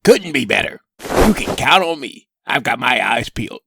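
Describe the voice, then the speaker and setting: A man playing a grumbling young slacker superhero in a comic dub, with a rough voice and an informal, whiny manner. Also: mid-range voice, big pitch swings, normal speed, noisy background. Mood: sheepish. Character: grumbling slacker superhero with stumpy rock fingers.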